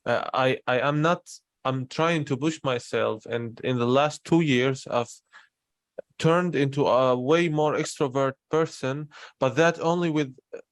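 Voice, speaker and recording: A young adult man talks openly and personally. His voice sits at an average pitch, and the sound is dry with very little room in it. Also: a little slow, no room sound. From Syria. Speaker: interviewer in a conversation. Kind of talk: candid personal conversation.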